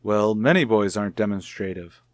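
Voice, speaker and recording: An actor playing a calm, formal male child psychologist in a vintage radio soap opera, questioning in a measured, deliberate way. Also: on the low side, no room sound, studio quality, close to an everyday voice. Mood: curious, probing.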